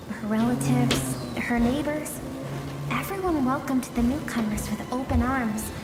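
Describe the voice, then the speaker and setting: An actress playing a grave, sorrowful woman in a video game, recounting a tragic past in measured, somber tones. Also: fairly high pitch, natural voice. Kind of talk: solemn dramatic storytelling. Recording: echoey long reverb with game music behind.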